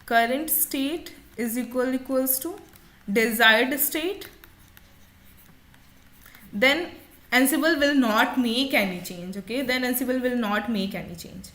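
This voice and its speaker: A woman instructor explaining a software demonstration step by step, often repeating her points as she goes. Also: high voice.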